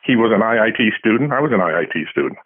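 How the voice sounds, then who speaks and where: A man's voice: on the low side, lively intonation, rapid-fire, husky. A man answering as an interviewed author, telling casual anecdotes with dry, self-deprecating humour. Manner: self-deprecating, dryly humorous. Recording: tinny phone-like line.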